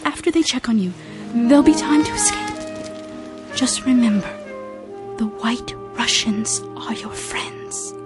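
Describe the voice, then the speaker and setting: A woman's voice: fairly high pitch, very animated, slow. An actress playing an anxious, secretive woman who helps in earnest, urgent tones, in a radio drama. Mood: pleading, secretive. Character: anxious, secretive female nurse helping a captive. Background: music.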